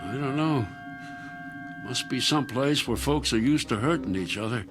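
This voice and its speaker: A man playing a steady, loving alien countryman with folksy rustic phrasing in a radio drama. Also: medium pitch, normal speed, music in the background, close to an everyday voice. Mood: fond, teasing. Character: blind, many-eyed alien countryman, steady loving husband.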